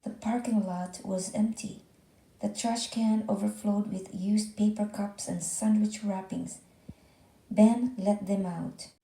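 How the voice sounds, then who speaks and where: Female children's read-aloud narrator: slow, slightly creaky delivery, echoey room sound. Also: mid-range voice, fairly even intonation, mellow. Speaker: story reader. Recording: roomy indoor echo.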